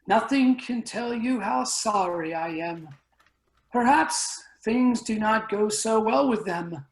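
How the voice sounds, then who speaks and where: A woman's voice: fairly high pitch, animated, slow. A woman voicing a sympathetic male councillor in a dramatic reading, consoling and emphatic. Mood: sorrowful, consoling. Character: Mencken, sympathetic male councillor grieving for an old friend. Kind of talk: dramatic reading of a science fiction story. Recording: roomy, echoing video-call audio.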